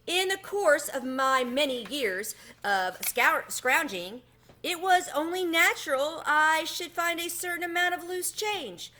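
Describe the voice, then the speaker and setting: A woman voicing a high, melodramatic little male mouse. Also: lively intonation, unhurried, bright, forceful tone, full character voice. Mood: pitiful, grandiose. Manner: theatrical, choked-up, pleading, with quaint inverted phrasing. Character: melodramatic, self-pitying, miserly little male city mouse. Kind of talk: children's storybook read-aloud.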